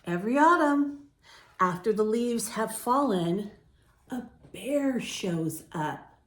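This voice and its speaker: A woman reading a picture book aloud to young children as a storytime host, with deliberate, drawn-out phrasing. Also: on the high side, animated.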